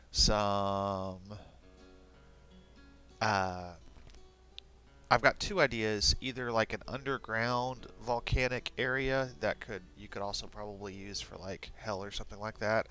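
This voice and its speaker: A man live-streaming alone at his desk mic, rambling and thinking aloud with many fillers, a bit sniffly. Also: lowish voice. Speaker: streamer making battle maps. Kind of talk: casual live-stream talk to himself. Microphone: desk microphone. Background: quiet background music.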